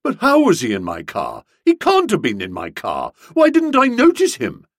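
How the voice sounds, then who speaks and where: A man voicing a flustered, pompous young English gentleman writer in an audiobook, wordy and self-justifying, with affectionate upper-class slang. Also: mid-range voice, huge pitch swings, unhurried, slightly rough, dry recording, clean studio sound, exaggerated voice. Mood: flustered, placating.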